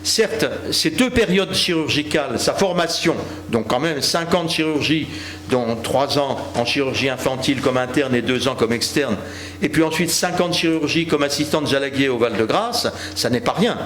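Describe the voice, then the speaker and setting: A man closing an academic lecture in measured, formal sentences. His voice sits in a middle register and rings out in a roomy hall, with faint noise underneath. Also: medium pitch, fairly bright tone. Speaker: historical speaker concluding a talk. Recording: echoey hall with background noise.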